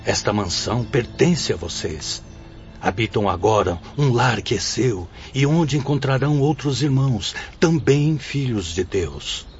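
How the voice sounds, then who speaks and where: A man's voice: mid-range voice, animated, measured pace, natural voice. An actor playing a kindly, solemn director of a spirit-world school in a Brazilian radio drama, speaking in a formal, fatherly, exhorting way. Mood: fatherly welcome. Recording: dull audio under clear music.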